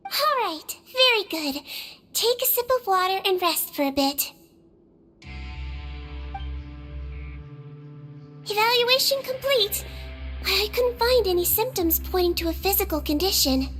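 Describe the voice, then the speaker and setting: Very high-pitched girlish little nurse creature. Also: normal speed, soft background music. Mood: calm, professional. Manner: calm, reassuring, clinical. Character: small, girlish, gentle Melusine head nurse. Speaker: head nurse. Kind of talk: video game voice acting.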